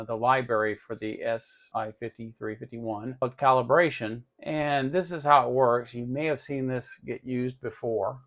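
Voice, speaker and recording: A man with a medium-pitched voice and a pronounced gravelly creak walks through a hands-on demonstration. He speaks informally, thinking aloud step by step like a tutorial host at the workbench. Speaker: host of an electronics tutorial channel.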